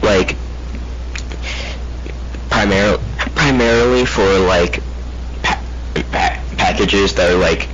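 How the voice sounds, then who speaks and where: A man chatting casually during gameplay in a halting, rambling way, recorded on a muffled mic. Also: low-pitched. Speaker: gamer playing Roblox. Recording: muffled mic with clear background noise.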